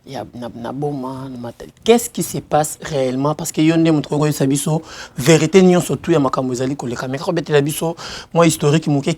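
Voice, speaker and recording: A man's voice: on the high side, darkish tone. A man interviewing a guest with an urgent manner and hesitant restarts. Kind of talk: interview, mixing French and Lingala.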